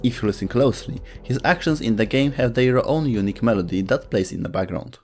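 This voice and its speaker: A man's voice: mid-range voice, a little fast, music in the background. A man reading scripted explainer narration as the narrator of a video.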